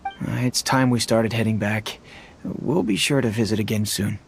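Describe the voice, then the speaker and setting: A man's voice: on the low side, moderate pace, creaky, slight background noise. An actor voicing a polite, grateful young father in a game, courteous in manner. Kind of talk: game cutscene voice acting. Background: game music.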